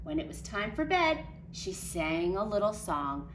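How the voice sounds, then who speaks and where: Expressive woman reading a storybook, roomy sound. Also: medium pitch, a little slow. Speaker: nursery school teacher. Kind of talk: children's storybook read-aloud. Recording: roomy echo, faint background noise.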